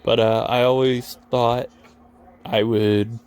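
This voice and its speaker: A man's voice: medium pitch, level tone, takes it slowly, darkish tone, clear, resonant tone. A young man from New England with an American accent, talking earnestly and reflectively in casual, rambling solo sports commentary. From New England, United States. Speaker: Patriots fan commentating over his video game.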